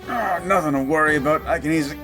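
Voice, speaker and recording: A man playing a gruff, wisecracking, unflappable demon paranormal detective in a roleplay audio drama, with a laconic, dry delivery. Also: a little high, quick pace, over loud music, pitched above the performer's own voice, natural voice. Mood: casual confidence.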